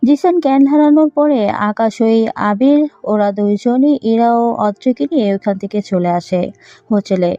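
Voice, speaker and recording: A woman's voice: fairly high pitch, moderate pace, warm tone, no room sound, highs cut off, soft background music. A woman narrating a scripted audio story.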